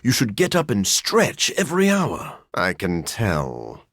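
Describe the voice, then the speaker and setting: A man voicing a brooding, intellectual vampire scientist in a video game, formal and articulate with dry wit and a gravelly, husky voice. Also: lowish voice, wildly swooping pitch, takes it slowly, a bit bright, no room sound, full character voice. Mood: pointed, intense. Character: brooding, intellectual adult male vampire scientist, dryly witty. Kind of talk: video game character dialogue.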